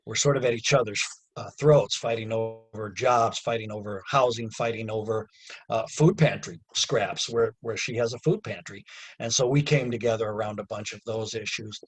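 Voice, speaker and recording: A man with a slightly husky voice speaks over a video call in an earnest, plain-spoken way. He talks like a community organizer closing a webinar, and he often rises into emphatic, raised passages. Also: on the low side, low-quality recording. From United States.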